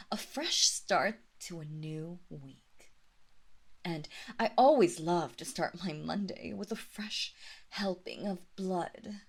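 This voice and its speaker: An aristocratic vampire mistress, performed by a woman for a roleplay audio drama. She talks very slowly in a slightly low register, every phrase formal and measured. Her manner is condescending and quietly threatening, often sinking into a breathy whisper. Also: exaggerated voice. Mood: patronizing. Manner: slow, deliberate, formal, condescending. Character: imperious female vampire mistress, coolly menacing aristocrat.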